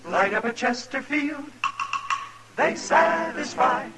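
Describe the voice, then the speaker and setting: A man's voice: extremely high pitch, animated, takes it slowly. A man performing a 1950s radio advertising jingle. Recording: old radio broadcast with music.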